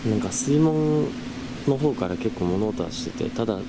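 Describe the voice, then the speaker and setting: A man's voice: fairly low pitch, animated, moderate pace, heavy background noise. A man casually narrating his visit to a haunted spot as the host, talking informally as if telling a friend. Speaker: host of ghost-spot exploration videos. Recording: music laid under the voice. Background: background music.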